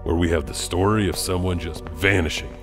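A man's voice: sits low, fairly even intonation, a little fast. A man hosting with scripted storytelling narration of spooky urban legends. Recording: loud music under the voice. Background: loud music.

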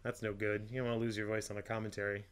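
A young man from Ohio, a podcast co-host going hoarse, rambling through crude jokes and fillers while commenting on a film. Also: lowish voice, little pitch movement, racing. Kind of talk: comedic film commentary chat.

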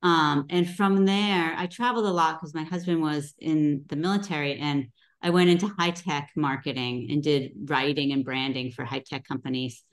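Low-voiced chatty woman on a video call. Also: fairly even intonation, moderate pace. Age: middle-aged. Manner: chatty, many ums. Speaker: guest author. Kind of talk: casual video call talk.

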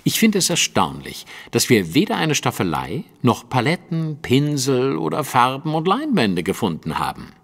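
An actor playing a precise, superior, analytical detective in an audio drama, with a didactic and pointed delivery. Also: male voice, medium pitch, huge pitch swings, normal speed, hardly any pauses, big-room sound. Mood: puzzled, pointed.

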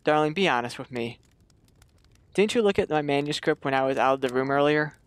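A man's voice: fairly high pitch, fairly quick, clear, resonant tone, lightly acted. A man playing an earnest, uneasy suburban husband in a radio play, talking in a hesitant, conversational way. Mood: uneasy probing.

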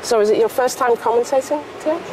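A woman's voice: on the high side, background noise. A woman with a Northern English accent giving chatty live commentary at a pool competition. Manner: chatty, brisk, asks follow-up questions.

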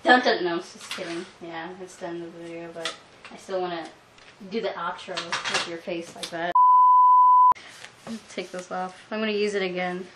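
A woman's voice: on the low side, steady tone, moderate pace. A young woman host chatting and teasing casually in a small room at home, picked up by a camera mic. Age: young adult. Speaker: vlog host. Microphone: camera mic at about arm's length. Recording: noisy home camera recording.

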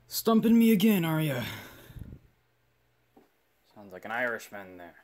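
A man's voice: fairly high pitch, wildly swooping pitch, unhurried, a little mellow, a little hiss or hum. A man giving casual repair commentary as a hobbyist, rambling and self-deprecating as he thinks aloud. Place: indoor workshop bench.

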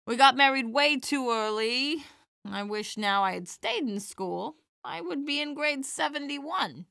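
A folksy old granny comic, acted by a woman, with a bright, forward voice in a dry, close recording. She chats and tells her tales with animation, kindly and playful, in audio drama style. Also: sits high, animated, a little slow, creaky, no room sound, full character voice. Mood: playful, performing. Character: elderly widowed granny stand-up comedienne, folksy and kindly. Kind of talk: audio drama dialogue and stand-up routine.

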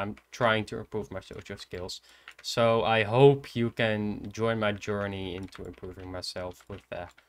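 A man's voice: lowish voice, clean tone. Shy young Dutch man streaming a game. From Netherlands. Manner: soft-spoken, hesitant, thinking aloud. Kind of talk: casual gameplay commentary. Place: indoors at a computer.

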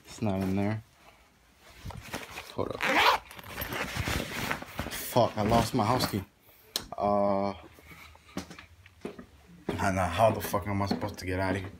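A man's voice: lowish voice, flat delivery, slow pace, long pauses. A young man talking casually to his phone camera, rambling and cursing as he moves around his house and then outside. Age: young adult. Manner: rambling, mumbled asides, frequent cursing. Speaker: vlogger. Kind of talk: casual handheld vlog. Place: house indoors, then outdoors in a neighborhood. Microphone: phone held at arm's length. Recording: noisy phone recording.

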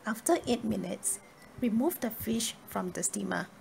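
A woman reading a scripted cooking tutorial in a measured, step-by-step instructional manner. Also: mid-range voice, creaky, a little mellow, slight background noise. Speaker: cooking video narrator. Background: music.

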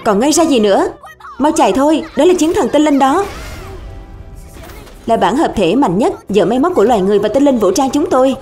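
High, boastful little dragon spirit played by a woman. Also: animated, brisk, mellow, faint music behind. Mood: urgent warning. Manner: boastful, indignant, emphatic. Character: small boastful, cunning young dragon spirit. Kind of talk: animated cartoon dub.

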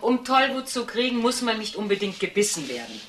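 An actress playing an earnest middle-aged veterinarian in a TV drama, cautioning in an instructive, insistent way. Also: female voice, medium pitch, sing-song, fairly quick, noisy background, lightly acted. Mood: earnest warning.

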